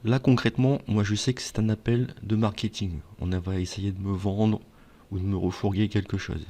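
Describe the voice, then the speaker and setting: A man with a fairly deep voice talks directly to his viewers as a presenter. He explains and advises in a conversational way, posing questions and then answering them himself. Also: fairly even intonation, normal speed, dark tone. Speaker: video presenter giving advice. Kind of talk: explainer talk to viewers, semi-scripted.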